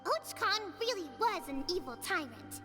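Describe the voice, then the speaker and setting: A woman's voice: very high voice, very animated, measured pace, long reverb tail, over loud music, exaggerated voice. A woman voicing a young child in a video game, earnestly telling old legends. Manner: earnest storytelling.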